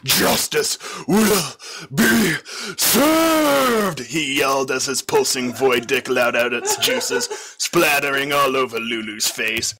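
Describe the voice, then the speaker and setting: A man's voice: medium pitch, level tone, measured pace, a crowd in the background. A man reading a comic fan fiction story aloud as scripted narration.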